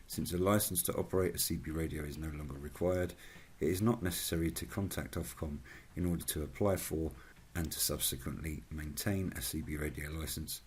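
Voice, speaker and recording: A man with a husky voice reading a scripted narration as a channel host, in a formal, measured manner. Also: very deep voice, darkish tone, a little hiss or hum.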